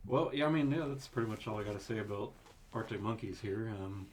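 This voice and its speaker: A man's voice: on the low side, fast talker, slight background noise. A man co-hosting an album review podcast, rambling casually with lots of fillers and frequent chuckles. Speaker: podcast co-host.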